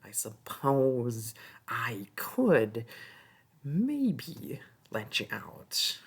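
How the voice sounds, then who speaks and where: Performer acting a goblin thief, fantasy audio roleplay, mocking, wheedling, breathy and raspy, unhurried, whispery. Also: medium pitch, big pitch swings, heavily acted. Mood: sly, mocking. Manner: taunting, haggling, drawn-out wheedling. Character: sly mocking goblin thief, small and sneaky.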